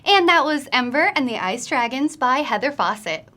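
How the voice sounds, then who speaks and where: A woman's voice: high voice, big pitch swings, moderate pace, pushed and bright. A woman hosting a book recommendation video, speaking in a scripted presenter style to viewers. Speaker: host of a book recommendation series.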